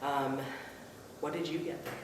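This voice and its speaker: A young woman with a hoarse, weak voice from laryngitis, chatting casually as a vlog co-host. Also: low voice, steady tone, unhurried, heavy reverb, distant mic, noisy background. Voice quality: weak, whispery voice. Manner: chatty, laughs often. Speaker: podcast co-host.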